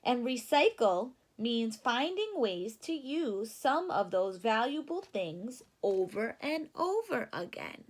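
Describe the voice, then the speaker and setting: A woman reading a children's picture book aloud in a deliberate, teacherly way, stopping to put questions to young listeners. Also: fairly high pitch, sing-song. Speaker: read-aloud storyteller for children.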